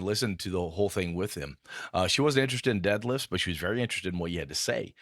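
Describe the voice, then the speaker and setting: Deep-voiced jokey podcast co-host. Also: male voice, fairly bright tone, no echo, studio quality. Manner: jokey, reads letters aloud with quoted voices. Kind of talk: casual chat, reading listener mail.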